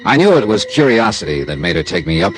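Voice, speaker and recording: An actor playing a tough, wry insurance investigator in a classic radio drama, clipped and sardonic. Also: male voice, fairly low pitch, big pitch swings, fast. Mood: dry narrating. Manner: clipped, sardonic, hard-boiled. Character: tough, wry freelance insurance investigator, narrating his case. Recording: vintage radio drama with music.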